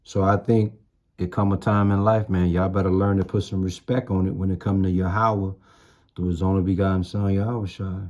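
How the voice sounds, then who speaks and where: Low mellow guy preaching real blunt and slangy, repeats himself to drive it home. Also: level tone, fairly quick, dull audio. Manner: blunt, emphatic, repeats phrases for emphasis, streetwise slang. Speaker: street-style preacher. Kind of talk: informal sermon, exhortation.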